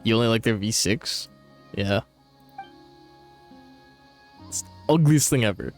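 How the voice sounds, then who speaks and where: A man's voice: lowish voice, measured pace, soft background music. A man streaming a video game, chatting casually in a slangy, teasing way. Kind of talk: casual banter over gameplay.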